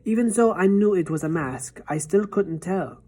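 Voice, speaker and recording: A woman voicing a composed, affectionate old grandmother who speaks calmly. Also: fairly low pitch, moderate pace, voice pushed up, natural voice. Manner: calm, cool, affectionate.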